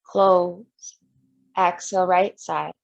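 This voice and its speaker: A woman yoga instructor leading a guided breathing meditation in short, gentle step-by-step cues. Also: on the low side, steady tone, takes it slowly, creaky, muffled recording.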